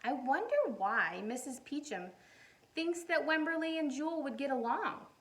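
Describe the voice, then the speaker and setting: A woman teacher reading a picture book aloud to young children, animated and encouraging, often putting questions to her listeners. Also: high-pitched. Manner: encouraging, animated, asks questions and pauses for answers.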